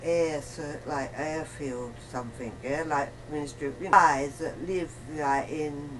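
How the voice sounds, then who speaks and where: A woman with a slightly low voice talks slowly and haltingly in casual conversation. She rambles and repeats herself as she explains. The amateur recording has clear background noise. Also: steady tone. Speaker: interview guest. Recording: noisy amateur recording of a chat.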